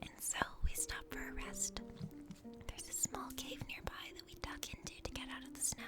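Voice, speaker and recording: A woman reads a visual novel aloud as ASMR. She speaks in a breathy whisper right by the microphone, with loud game music playing behind her. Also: moderate pace. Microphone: close microphone.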